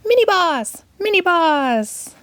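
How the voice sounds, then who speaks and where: A woman's voice: very high voice, sing-song, takes it slowly, fairly bright tone, dry recording, voice pushed up, full character voice. A woman voicing a small cheering cartoon creature with a squealing chant. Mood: gleeful cheering.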